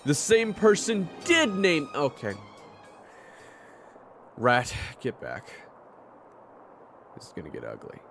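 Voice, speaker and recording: A man's voice: on the low side, big pitch swings, moderate pace, gravelly, faint music behind. A man playing a stern, irritable monster hunter with a grim temper in an audio drama. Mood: grim, hostile. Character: brooding, vengeful monster hunter, easily exasperated.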